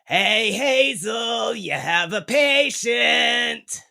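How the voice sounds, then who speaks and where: A woman's voice: fairly high pitch, expressive, very slow, vocal fry, bright, forceful tone, shouting, exaggerated voice. A woman playing a blunt, casual young half-orc assistant with a brash, informal manner in an audio drama. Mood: yelling. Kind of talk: scripted audio drama dialogue.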